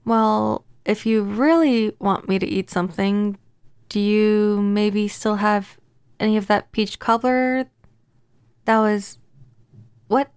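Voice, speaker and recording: A woman playing a gentle, apologetic vampire neighbor in a soft-spoken, hesitant ASMR audio roleplay. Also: medium pitch, fairly even intonation, slow, long pauses, clear, resonant tone, dry recording. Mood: flustered. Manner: hesitant, stammering, earnest.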